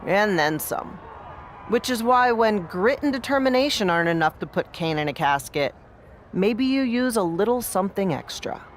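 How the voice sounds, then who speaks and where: An actor playing an encouraging but scheming wrestling adviser in a scripted video game cutscene. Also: male voice, high-pitched, expressive, normal speed, slight background noise. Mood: conspiratorial.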